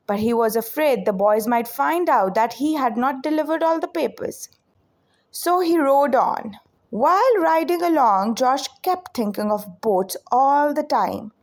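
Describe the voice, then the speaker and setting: A female storyteller reads to children with a slightly raised pitch and very lively intonation. Her delivery is expressive and unhurried, giving each phrase room to land. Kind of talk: children's read-aloud narration.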